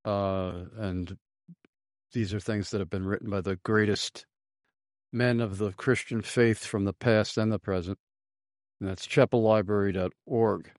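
Host of a religious talk show; lowish voice, unhurried, dry close mic. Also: male voice, fairly even intonation, a little mellow, no echo. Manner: measured, slow, with fillers. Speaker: radio program host.

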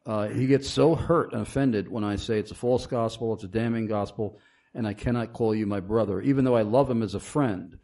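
A man's voice: on the low side, brisk, creaky, mellow. A man hosting a radio talk show, reading listener questions and speaking emphatically.

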